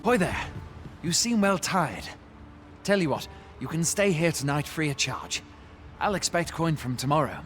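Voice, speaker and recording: Village innkeeper, fantasy game: folksy, hospitable, modest, animated intonation, some ambience behind. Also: male voice, medium pitch, slight background noise. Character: plain-spoken, kindly male fantasy innkeeper, modest and helpful. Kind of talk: scripted fantasy game dialogue, folksy archaic English.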